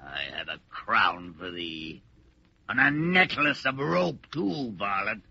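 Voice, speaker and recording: An actor playing a gruff, mocking medieval hangman with menacing delivery in old radio drama. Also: fairly low pitch, big pitch swings, sharp tone, dry recording, full character voice. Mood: gloating menace. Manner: menacing, drawn-out. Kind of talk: 1940s radio acting. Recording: dull old radio broadcast.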